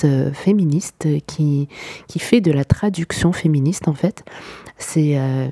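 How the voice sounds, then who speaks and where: Low, mellow-voiced woman with a sore throat. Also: no echo. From Morocco. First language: Arabic. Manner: thoughtful, many hesitations and restarts. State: sore throat, a little ill. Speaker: podcast host. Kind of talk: reflective solo podcast talk.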